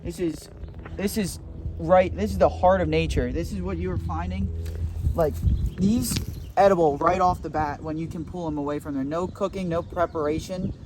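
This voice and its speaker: A young man plays an overconfident survival expert, talking with a deadpan, boastful ramble. His voice sits a bit high for a man. He is recorded outdoors with a faint background hiss. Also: normal speed. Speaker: mock survival-show host. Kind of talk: deadpan comedic parody narration. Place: outdoors in nature.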